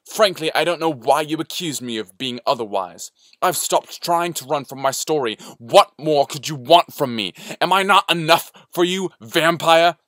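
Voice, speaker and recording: A man's voice: on the low side, moderate pace, a bit bright, no echo, clean studio sound, natural voice. A man voicing a prickly, defiant, self-righteous woman in a story, curt and argumentative. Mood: indignant.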